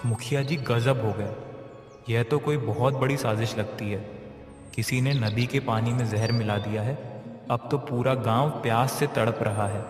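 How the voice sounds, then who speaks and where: A man's voice: mid-range voice, fairly even intonation, measured pace, natural voice. Man acting a troubled villager in echoey reverb. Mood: pleading. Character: distressed village man, pleading and respectful. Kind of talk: Hindi moral story cartoon dub. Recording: echoey reverb with clear background music.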